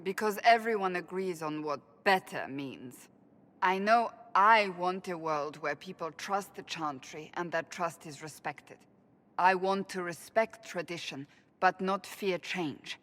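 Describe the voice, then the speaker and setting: An actress playing a devout warrior in a game, grave, principled and thoughtful. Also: female voice, fairly low pitch, a little slow, a bit bright, big-room sound. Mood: reflective, earnest. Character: earnest, devout female warrior, principled and brooding. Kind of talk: dramatic game acting.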